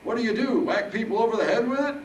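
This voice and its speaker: A man voicing a stubborn, wisecracking old military veteran in a storybook reading, with folksy, gruff talk. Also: extremely high pitch, fast talker, voice pushed up. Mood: defiant, teasing. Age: older. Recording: roomy, distant mic, faint noise.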